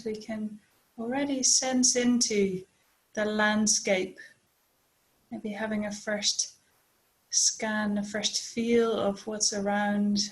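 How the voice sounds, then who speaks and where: A woman leading a guided body scan meditation as a teacher, speaking gently and unhurriedly with long pauses. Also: a little high, rough recording.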